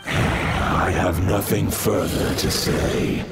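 A man voicing an ancient, solemn giant wolf spirit in a fantasy game, speaking gravely and formally. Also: deep voice, over loud music. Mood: measured, dignified. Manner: slow, grave, formal, measured. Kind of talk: dramatic fantasy game voice acting.

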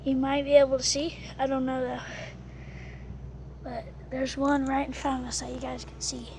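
Woman, high voice, gravelly fry, casual outdoor vlog, handheld phone, chatty with fillers. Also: little pitch movement, moderate pace, slight background noise. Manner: chatty, loose, many "like" fillers, drops quiet while searching. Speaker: vlogger. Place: outdoors by an abandoned pool on rural land. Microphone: handheld camera phone filming the water.

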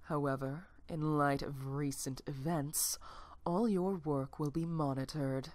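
Villainess in command, acted by a woman: deep female register, unhurried, condescending, cold, audio drama. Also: fairly even intonation, darkish tone, dry recording. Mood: cold, businesslike.

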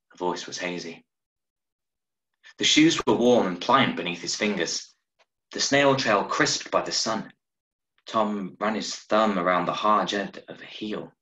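Low, bright-voiced man reading a story. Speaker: story reader. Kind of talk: scripted narration, short story reading. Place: small room at home, on a video call. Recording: roomy, echoey video-call sound.

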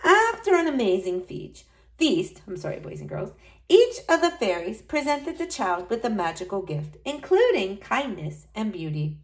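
Woman, storybook read-aloud, highish pitch, very lively intonation, expressive, speaking to young children. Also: moderate pace. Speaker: school librarian reading to children.

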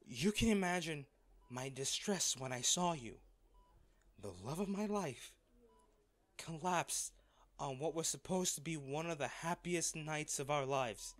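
A man playing a wealthy, devoted and distraught lover in an intimate audio roleplay, speaking earnestly and haltingly. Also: fairly high pitch, natural voice. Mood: regretful, tender. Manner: halting, emotional, earnest delivery with pauses.

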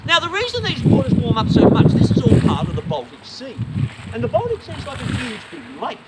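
A man leads a walking tour with a lively, sing-song delivery and a fairly high voice, cracking wry asides to the group. He is caught from a distance on a phone outdoors, with loud wind and traffic over him. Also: fairly quick. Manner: wry, conversational, addresses the group as folks. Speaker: tour guide. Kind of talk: guided tour talk. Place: outdoors in a city square and harbour. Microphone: vlogger's phone, from some distance.

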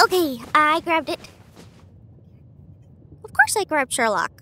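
A woman performing an intimate audio roleplay as an affectionate, bookish partner, chatting casually with hesitant pauses. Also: sits high, sing-song, pushed and bright, slight background noise. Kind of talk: intimate acted roleplay monologue. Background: ambient train sound effects.

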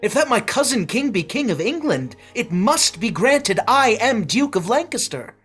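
Man reading exiled duke, aggrieved, forceful, webcam reading, soft music behind. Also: very high voice, very animated, normal speed, slightly rough, heavily acted. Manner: forceful declamation. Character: aggrieved exiled duke claiming his inheritance. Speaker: actor. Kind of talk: Shakespeare staged reading. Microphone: video call webcam.

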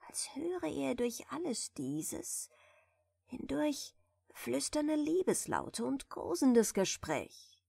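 A woman softly reading a bedtime fairy tale in a gentle, soothing manner, at times close to a whisper. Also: fairly high pitch, vocal fry, no echo. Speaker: audiobook reader, storyteller.

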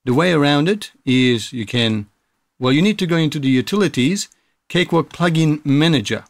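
A man in his thirties giving a casual software tutorial, explaining things step by step. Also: mid-range voice, no room sound. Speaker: tutorial presenter.

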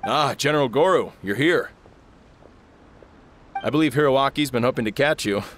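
A man's voice: medium pitch, normal speed, fairly bright tone, slight background noise, close to an everyday voice. A man playing a dutiful, respectful soldier in a video game, polite and matter-of-fact. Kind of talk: scripted video game voice acting.

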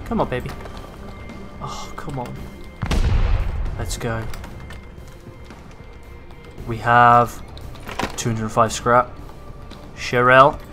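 Brief: male gaming commentator, slightly raspy, hyped, foul-mouthed, faint music underneath. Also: mid-range voice, slow pace, long pauses. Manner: excitable, swears freely, hypes viewers. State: slightly raspy from long talking. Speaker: gaming YouTuber. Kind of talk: casual gameplay commentary.

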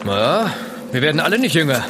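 A laconic male mountain climber in a radio drama. His pitch moves a lot as he talks. Loud outdoor noise surrounds him. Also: big pitch swings, a little fast. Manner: laconic, curt.

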